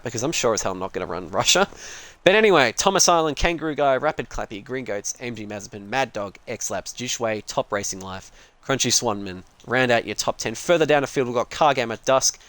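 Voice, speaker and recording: A man's voice: mid-range voice, a bit bright. A man casually commentating a sim racing league on a live stream, rambling and joking at his own expense and swearing freely.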